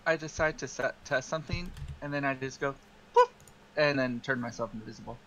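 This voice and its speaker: A man's voice: medium pitch, fairly quick, a bit bright, lo-fi sound. A man casually narrating his own character's actions in a tabletop roleplaying game. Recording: clearly noisy background.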